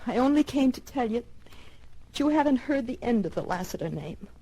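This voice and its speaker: Vengeful frontier widow, American, high husky voice, cold, accusing, radio western drama. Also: female voice, lively intonation, brisk, dark tone, poor audio. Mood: cold menace. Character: bitter, vengeful young widow of a gunfighter. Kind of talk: old-time radio western acting.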